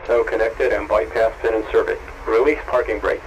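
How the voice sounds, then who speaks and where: A man voicing a calm male ground crew chief in a flight simulator, giving flat procedural calls. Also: lowish voice, fairly quick, slight background noise, natural voice. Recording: dull lo-fi game audio.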